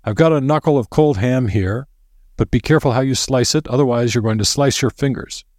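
A man's voice: mid-range voice, fairly quick, dry recording, studio quality, voice pushed up, lightly acted. Man doing a bluff, hearty old professor. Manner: hearty, loud greeting.

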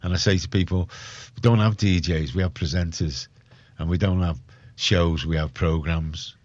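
A man speaks in a fairly low voice, in a casual interview style. He is opinionated and emphatic, doubling back on phrases to drive them home. The recording is dry, with little room sound, and slightly muffled. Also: fairly even intonation, normal speed, a little mellow, dry recording. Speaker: community radio organiser, interview guest.

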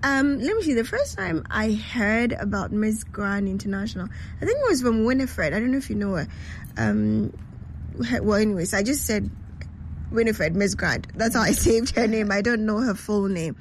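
A woman's voice: medium pitch, animated, moderate pace, slight background noise. A woman hosting a live radio show from her studio, chatty and bubbly, laughing often as she interviews guests.

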